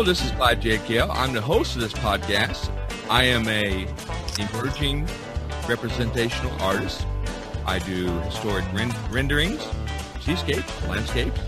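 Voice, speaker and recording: A man hosting a podcast in casual chat, with a husky voice. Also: lowish voice. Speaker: podcast host.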